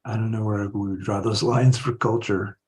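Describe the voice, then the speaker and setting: A man's voice: fairly low pitch, fairly even intonation, moderate pace, darkish tone, slightly rough. A man reasoning analytically in a live online discussion, hedging his claims as he goes. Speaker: discussion participant. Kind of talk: live podcast group discussion.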